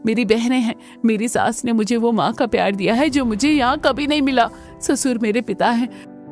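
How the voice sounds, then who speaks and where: A woman's voice: on the high side, darkish tone, natural voice. A woman voicing a timid, long-suffering young daughter-in-law who turns defiant, with emphatic delivery in a cartoon family melodrama.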